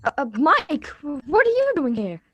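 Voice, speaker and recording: A woman's voice: sits high, wildly swooping pitch, moderate pace, muffled recording. A woman playing a polite, earnest teenage granddaughter from the future in a radio drama reading. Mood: surprised, anxious.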